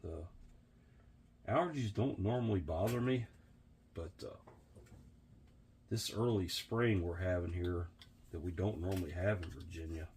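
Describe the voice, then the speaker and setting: A hoarse, sniffly man walks through a workbench repair in an unhurried, chatty tutorial style. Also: deep voice, darkish tone, a little hiss or hum. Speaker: vintage reel restorer.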